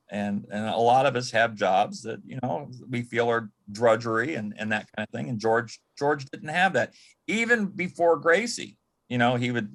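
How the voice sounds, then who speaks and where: An American man from Michigan reminiscing as a panel guest in a halting, reflective way, often repeating words. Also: medium pitch, fairly bright tone. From Michigan, United States. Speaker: panel guest, former assistant to an entertainer.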